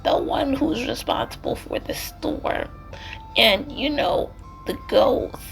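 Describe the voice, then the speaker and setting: A woman voicing a fussy, stern game librarian with a put-on New Jersey accent and a breathy, drawn-out, dramatic delivery, over music. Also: a little high, animated, pitched above the performer's own voice, exaggerated voice, low-quality recording. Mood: conspiratorial. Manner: dramatic, scolding. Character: fussy, stern female librarian with spooky complaints.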